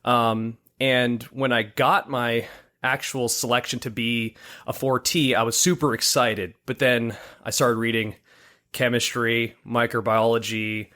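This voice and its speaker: A man in his thirties from Hawaii, a guest on a podcast, telling his story in a relaxed, easygoing way. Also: mid-range voice, a little slow. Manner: relaxed storytelling, laughs easily. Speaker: guest, military lab technician. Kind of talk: casual interview.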